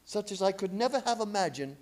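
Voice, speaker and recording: A man acts out a zealous vampire hunter in a radio horror play. His voice is high and swings widely in pitch, grave, commanding and theatrical. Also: expressive, quick pace, a little hiss or hum. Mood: solemn recounting. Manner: theatrical, urgent, solemn. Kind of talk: radio horror drama acting with narration.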